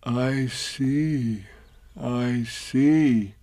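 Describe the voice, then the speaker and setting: A man's voice: mid-range voice, no echo. Man as a humble, dreamy storybook bear. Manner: plaintive, gentle, slightly slow-witted.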